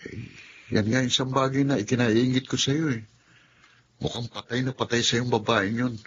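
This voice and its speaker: A man's voice: mid-range voice, fairly even intonation, normal speed, dull audio, a little hiss or hum, close to an everyday voice. An actor playing a frank young man who teases his friend and hides his own jealousy. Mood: teasing. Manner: frank, teasing. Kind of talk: radio drama acting.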